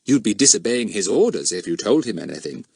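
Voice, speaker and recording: A man's voice: on the low side, big pitch swings, fast talker, creaky, darkish tone, slightly rough, no room sound, exaggerated voice. A man voicing a confident, earnest teenage boy detective in an audiobook, well-spoken, polite and persuasive with a cheeky streak. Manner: well-spoken, persuasive, eager schoolboy phrasing.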